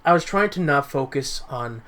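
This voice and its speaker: A man chatting casually as a podcast co-host, halting and repeating words as he starts his sentences. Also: medium pitch, lively intonation, a crowd in the background. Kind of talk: casual chat.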